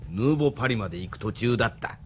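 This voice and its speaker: A man's voice: fairly low pitch, animated, very fast, slight background noise, lightly acted. An actor in a Japanese audio drama playing a sardonic, unruffled retired rebel in his seventies, speaking with blunt familiarity. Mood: wry, casual. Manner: familiar, gruffly worldly, sardonic. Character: wry, unruffled 75-year-old retired male rebel activist.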